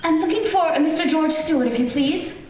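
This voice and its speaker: A woman as an earnest, high-voiced young lady. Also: a little fast, over music, close to an everyday voice. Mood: eager, polite. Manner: deferential, earnest. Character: polite, eager, naive young lady seeking work. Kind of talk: old-time radio horror drama acting. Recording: echoey theater, distant mic, clear noise.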